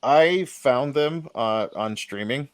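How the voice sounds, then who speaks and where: A man's voice: mid-range voice, animated, slow, clean tone, no echo. A man in his forties from Texas hosting a casual podcast, rambling, laughing easily and swearing. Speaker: podcast host and editor. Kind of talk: casual chat.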